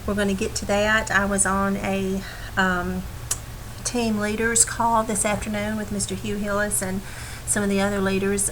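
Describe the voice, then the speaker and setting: Lady kinda raspy from a cold, earnest team update, lots of ums, faint hiss behind her. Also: mid-range voice, fairly bright tone, poor audio. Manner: earnest and reassuring, many ums and restarts. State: recovering from a cold, slightly raspy. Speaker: team leader giving an update.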